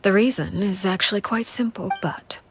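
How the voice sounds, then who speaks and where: An actress playing a cold, composed female leader in a video game, speaking in a measured, formal and ominous way with a breathy, husky voice. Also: medium pitch, slight background noise, natural voice. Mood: cold, stern. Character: cold, composed woman crime-house leader called Father. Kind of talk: video game voice acting, storytelling dialogue.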